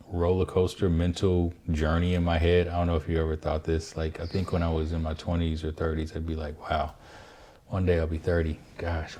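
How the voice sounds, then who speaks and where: A man in his fifties, a father hosting a family podcast, telling a reflective story in an unhurried way with fillers. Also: low-pitched, mellow, a little hiss or hum. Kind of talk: casual family podcast chat.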